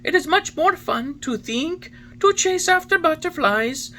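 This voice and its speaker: A woman's voice: high-pitched, lively intonation, a little slow, sharp tone, a little hiss or hum, heavily acted. A woman voicing an impudent wooden boy marionette with cheeky, defiant retorts.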